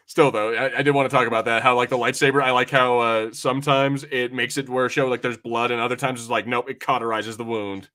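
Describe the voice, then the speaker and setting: A man's voice: medium pitch, little pitch movement, fast talker, few pauses, pushed and bright. A young adult man in casual podcast banter, brash and teasing, swearing freely as he talks. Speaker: podcast co-host. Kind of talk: casual watch-party banter.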